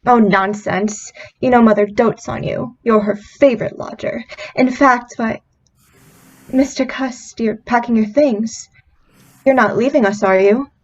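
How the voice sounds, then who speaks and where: High female voice, acted, gentle coaxing young woman, radio drama, dull muffled recording. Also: measured pace. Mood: coaxing, fond. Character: kindly, coaxing young landlady's daughter. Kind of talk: old-time radio drama acting.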